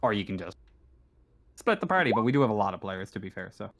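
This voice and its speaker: Guy running a tabletop rpg, kinda deep voice, talks casual and a bit halting. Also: big pitch swings. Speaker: game master. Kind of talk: casual narration of a tabletop game.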